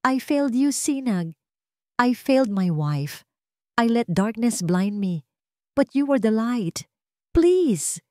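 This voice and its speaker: A woman's voice: on the high side, sing-song, takes it slowly, pauses often, warm tone, no echo, clean studio sound, pitched above the performer's own voice. A woman voicing a remorseful wealthy man in a romance story, pleading and confessing dramatically. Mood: desperate pleading.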